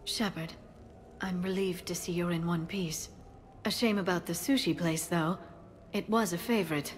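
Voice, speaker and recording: An actress playing a composed, helpful alien information broker in a video game, speaking in a measured, polished manner. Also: female voice, on the low side, steady tone, a little hiss or hum, natural voice. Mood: calm, relieved.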